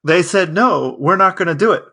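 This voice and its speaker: Bright, higher-voiced man chatting on a podcast. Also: animated, normal speed, creaky. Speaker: podcast co-host. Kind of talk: casual storytelling chat.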